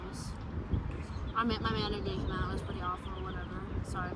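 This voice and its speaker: A woman's voice: medium pitch, steady tone, quick pace. A teenage American girl co-hosting a podcast in casual chat, full of "like" and restarts. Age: teens. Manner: chatty, lots of likes and restarts. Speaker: podcast co-host. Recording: loud background noise.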